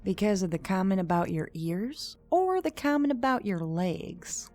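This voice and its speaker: A woman's voice: mid-range voice, lively intonation, unhurried, warm tone, faint music behind, close to an everyday voice. A woman voicing a wry, teasing young monster hunter in an audiobook, dry and matter-of-fact. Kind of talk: audiobook character acting.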